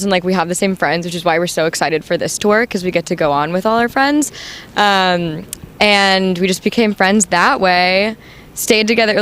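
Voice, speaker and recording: A young adult woman with a high voice, the band's new singer, answering interview questions casually with many "like"s and "um"s. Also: a bit bright. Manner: chatty, full of "like" and "um".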